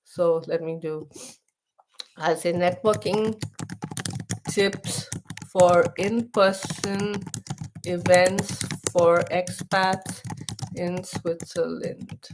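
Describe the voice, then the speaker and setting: A woman's voice: fairly low pitch, steady tone, very slow, pauses often, noisy background. A middle-aged woman from India with an Indian accent, hosting a casual live stream for expats, chatty, often restarting her sentences and reading text aloud.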